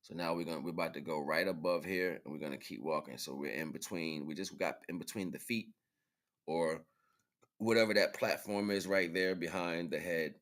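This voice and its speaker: A man's voice: low-pitched, fairly bright tone. A man giving casual livestream commentary in informal, slangy talk, repeating phrases for emphasis as he hosts. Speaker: livestream host.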